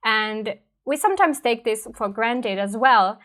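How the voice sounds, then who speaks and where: A woman's voice: a little high, expressive, a little slow, a bit bright. Finnish woman musing to camera. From Finland. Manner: thoughtful, conversational, frequent "right?" and fillers. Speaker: language teacher. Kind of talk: reflective personal talk to camera.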